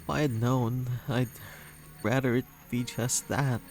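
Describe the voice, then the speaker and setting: A man voicing a gentle, insecure young man in a dating game, speaking in a halting, earnest, emotional way. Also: medium pitch, fairly even intonation, lightly acted. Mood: sad, confessing. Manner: halting, earnest, tender delivery. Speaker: voice actor reading a visual novel. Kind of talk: emotional dramatic game dialogue reading. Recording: music playing under the voice. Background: music.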